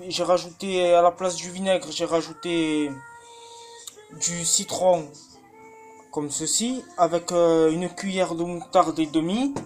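A man in his thirties with a Marseille accent, rambling casually to a phone camera in his small home kitchen, full of fillers and restarts. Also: on the high side. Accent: Marseille French. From Marseille, France. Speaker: cooking vlogger. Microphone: phone camera held at arm's length.

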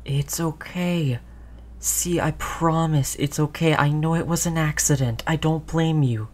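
A woman playing a gentle human who soothes their android companion in an intimate roleplay audio drama, tender and reassuring. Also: low voice, a little slow. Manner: soothing, tender, hushed, repeating reassurances softly.

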